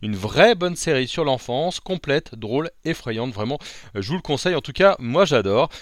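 A man's voice: medium pitch, lively intonation, moderate pace, dry recording, clean studio sound. A man presenting a scripted podcast review segment, upbeat, with light asides and some hesitation. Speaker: podcast columnist.

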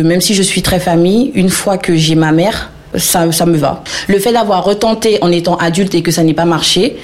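A woman in her thirties from French Guiana talks through her memories in a halting, reflective way, pausing often to search for words. Her voice has a gravelly edge. Faint music plays quietly under her. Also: fairly low pitch. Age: 30s. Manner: hesitant, many pauses and fillers. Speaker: interviewee. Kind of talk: reflective personal testimony.

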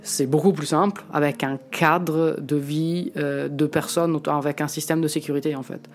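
A man in his late twenties from Italy, talking frankly and reflectively in an interview and often pausing to find his words. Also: on the high side, flat delivery, faint music behind. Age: late 20s. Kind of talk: candid personal testimony.

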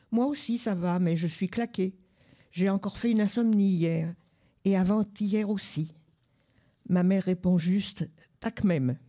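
Woman reader, deep-toned and mellow, measured literary reading. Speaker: reader at a book club radio show. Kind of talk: reading a literary excerpt aloud. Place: public library.

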